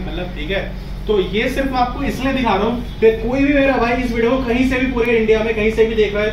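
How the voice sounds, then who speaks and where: A man presents an energetic promotional vlog to camera, emphatically repeating key phrases. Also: high-pitched. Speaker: host of a video channel. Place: small office stockroom, indoors. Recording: echoey room, distant mic, noisy.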